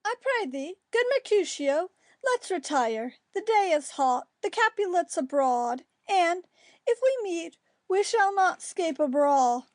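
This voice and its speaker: Earnest, cautious young friend played by a woman, extremely high voice, classical verse, close dry recording. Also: expressive, slow, no echo, heavily acted. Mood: anxious, pleading. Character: cautious, peace-making young male friend. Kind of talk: Shakespeare audiobook dramatic reading.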